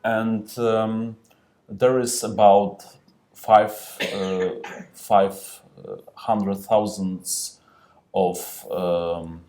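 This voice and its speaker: Silesian guy on a panel, lowish voice, talks real slow, lots of ums and restarts. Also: flat delivery, a little mellow. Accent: Silesian-accented Polish. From Silesia, Poland. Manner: hesitant, many fillers and restarts. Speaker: panel guest novelist. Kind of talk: panel discussion. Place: festival stage.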